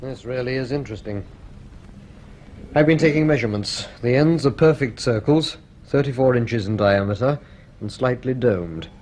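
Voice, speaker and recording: An earnest young male technician, voiced by an actor in a radio serial. He sounds methodical and absorbed, mid-pitched, with faint noise in the background. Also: moderate pace, darkish tone, natural voice. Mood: absorbed, interested. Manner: precise, absorbed. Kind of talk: radio drama dialogue.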